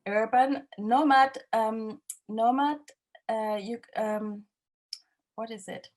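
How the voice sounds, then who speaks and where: Woman director, 30s, English, halting answers full of fillers. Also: on the high side, steady tone, a little mellow. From Finland. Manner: halting, many fillers and restarts. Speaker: film director. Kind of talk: festival interview, casual answers.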